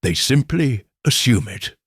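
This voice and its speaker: A man voicing a weary, troubled middle-aged vicar, with a husky voice. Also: medium pitch, very animated, unhurried, no room sound, studio quality, full character voice.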